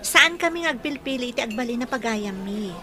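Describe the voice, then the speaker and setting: An actress with an Ilocano accent playing a friendly, forgiving young nurse in a radio drama. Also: female voice, a little high, animated, fairly quick, a crowd in the background, natural voice.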